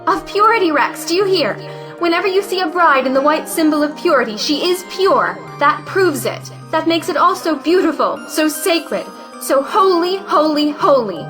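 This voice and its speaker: Woman acting a high-voiced, bitterly sarcastic young bride-to-be. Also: animated, normal speed, music in the background. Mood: bitter sarcasm. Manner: mocking, theatrical. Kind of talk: audio play acting.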